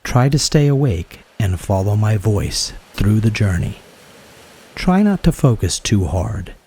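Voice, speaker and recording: A man with a fairly low, dark and relaxed voice leads a guided meditation. His tone has a husky, breathy grain. He gives soothing instructions in short phrases and never hurries them. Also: measured pace. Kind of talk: guided yoga nidra meditation.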